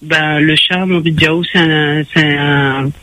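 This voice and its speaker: A woman's voice: low voice, steady tone, slow, crowd noise, low-quality recording. A woman calling a radio show, earnest, rambling and indignant. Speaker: caller. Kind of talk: phone-in chat. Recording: radio phone-in.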